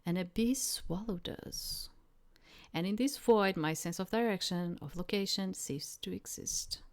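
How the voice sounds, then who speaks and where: A woman streaming a game, reading visual novel narration aloud in a measured, dramatic way with casual asides. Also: mid-range voice. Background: soft game music.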